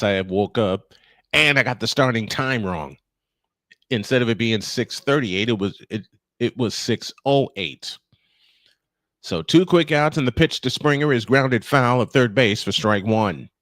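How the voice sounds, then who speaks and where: Low-voiced man calling baseball live. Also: unhurried, a bit bright, no echo. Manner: folksy, joking asides between calls. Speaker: play-by-play baseball announcer and stream host. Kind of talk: live sports commentary mixed with casual chat.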